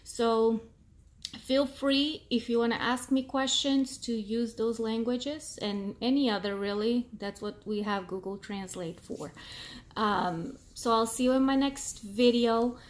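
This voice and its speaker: A woman's voice: a little high, unhurried. Hesitant woman with a Portuguese accent. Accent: Portuguese-accented English. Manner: hesitant, many ums, searching for words. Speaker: vlogger. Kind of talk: casual talking-to-camera vlog.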